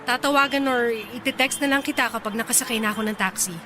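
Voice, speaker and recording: A woman playing a proud, easily irritated but shy female office boss in a Tagalog radio drama, with an exasperated, expressive delivery. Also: fairly high pitch, fast, over music, natural voice. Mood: shy, declining. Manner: exasperated, expressive, mixes in English phrases.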